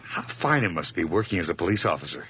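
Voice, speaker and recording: A man's voice: low voice, lively intonation, fast talker, background noise. An actor playing a nervous, overly eager hired man who stammers through forced cheer in a radio drama. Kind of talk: 1980s radio drama acting.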